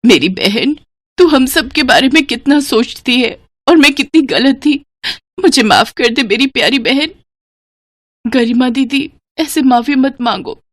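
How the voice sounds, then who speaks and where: A woman's voice: high-pitched, no room sound, studio quality, voice pushed up. A woman voicing tender-hearted, caring sisters in a family story, with an earnest, emotional delivery. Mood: tearful, tender.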